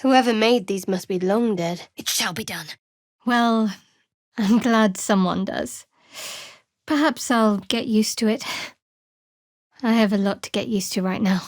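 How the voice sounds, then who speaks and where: A woman playing a guarded, devout young cleric of a dark goddess in a fantasy video game, speaking in a measured, dry, slightly wry way. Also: mid-range voice, dry recording, lightly acted. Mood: devout, solemn, then guarded, determined. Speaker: video game character voice actor. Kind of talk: scripted fantasy game dialogue.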